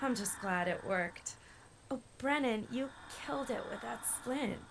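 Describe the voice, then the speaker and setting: A woman's voice: on the high side, animated, a little slow, creaky, background noise. A woman playing a young, focused, caring American healer in an audio drama, plainspoken and slangy. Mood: relieved, practical. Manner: plainspoken, slangy, takes charge. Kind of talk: naturalistic audio drama acting. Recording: loud music under the voice.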